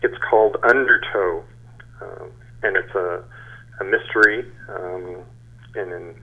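A man in his sixties giving halting, measured answers as an interview guest. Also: deep voice, vocal fry, slight background noise. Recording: dull band-limited phone-like sound.

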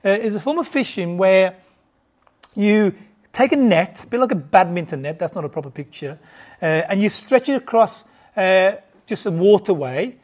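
A woman's voice: medium pitch, lively intonation, normal speed, darkish tone. Woman teaching Bible, chatty. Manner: informal, stumbling restarts, asks the audience questions. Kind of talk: conversational Bible teaching to a group.

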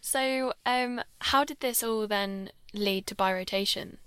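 A woman's voice: mid-range voice, a little slow, fairly bright tone, clean tone, dry recording. Encouraging woman hosting a podcast. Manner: encouraging, with frequent short affirmations. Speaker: podcast host. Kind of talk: casual interview questions.

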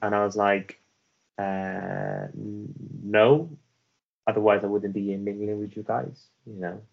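Man, Welsh accent, very low pitch; earnest interview guest, halting delivery, muffled lo-fi audio. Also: flat delivery, gravelly. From Afghanistan. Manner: earnest, halting, with many restarts and fillers. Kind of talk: reflective personal storytelling.

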